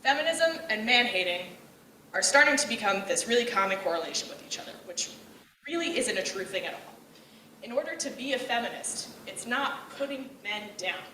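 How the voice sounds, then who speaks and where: A woman's voice: on the high side, animated, brisk, a little hiss or hum. Young woman, bold and outgoing speaker, far-off mic, roomy echo. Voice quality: outgoing, loud and direct. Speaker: speaker giving a talk. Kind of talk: personal speech on gender roles. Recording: echoey room, voice from afar.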